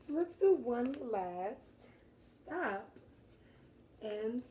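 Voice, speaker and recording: A woman reads a children's story aloud like a teacher. Her pace is unhurried and her tone mellow. She is encouraging and stops to pose questions, with faint noise behind her. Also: fairly high pitch, big pitch swings. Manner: encouraging, pauses to question young listeners. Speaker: teacher reading a picture book aloud to children. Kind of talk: animated read-aloud with teaching asides.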